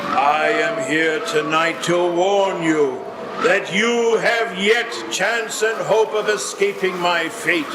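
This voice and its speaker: A roomy, noisy live radio-play recording of a mournful chained ghost acted by a man. The voice is high and slow, declaiming every phrase with solemn theatrical weight. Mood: solemn warning. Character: mournful chained male ghost of a dead businessman. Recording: roomy live stage with clear noise.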